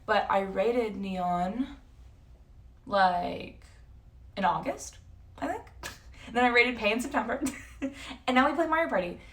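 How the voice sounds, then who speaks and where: A woman's voice: on the high side, a little slow, pauses often, far from the mic. A woman in her early twenties with a North American accent, chatting casually to livestream viewers in a rambling, slangy, self-interrupting way. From San Jose, California and Toronto, Canada. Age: early 20s.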